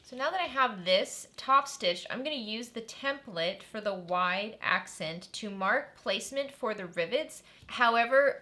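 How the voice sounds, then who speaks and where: Female host, friendly craft-tutorial read: bright tone, mid pitch, chatty step-by-step explaining. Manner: chatty and upbeat, narrating while working.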